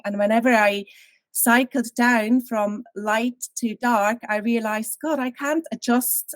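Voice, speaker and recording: Interview guest, woman from Switzerland, 30s, animated storyteller, dry close sound. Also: fairly high pitch, dry recording. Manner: candid, animated storytelling with frequent laughs.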